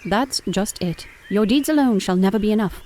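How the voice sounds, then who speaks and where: A woman's voice: mid-range voice, normal speed, slight background noise, lightly acted. A woman voicing a wise, earnest fantasy creature with a solemn, theatrical manner. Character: wise, earnest female otter, fantasy keeper or healer. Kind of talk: fantasy audio drama acting. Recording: loud music behind.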